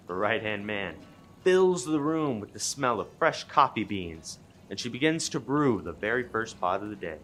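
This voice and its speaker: A man's voice: fairly low pitch, fairly bright tone, slight background noise. A man reading dramatic scripted narration for a comic radio play.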